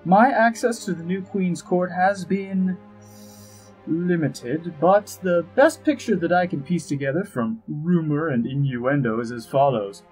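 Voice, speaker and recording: An actor playing an urbane, wry, scheming ambassador in a fantasy audio drama, droll, precise and pompous, with a husky voice. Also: male voice, a little high, measured pace, a little mellow, close to an everyday voice, rough recording. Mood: droll, exasperated. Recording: music behind the voice.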